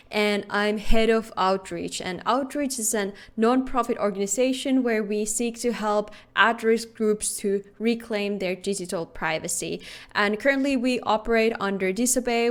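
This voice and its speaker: A woman whose first language is Finnish gives a remote conference talk. Her voice is smooth and clear at a middle pitch, and she speaks earnestly, often pausing on fillers and restarting her sentences. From Finland.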